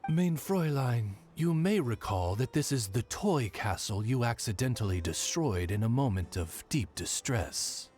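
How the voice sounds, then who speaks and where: A man's voice: mid-range voice, lively intonation, unhurried, few pauses, darkish tone, soft background music, lightly acted. A man voicing a courtly, loyal raven attendant in a video game, formal and refined in manner. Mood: deferential. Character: dignified, courtly male raven familiar, loyal butler-like attendant.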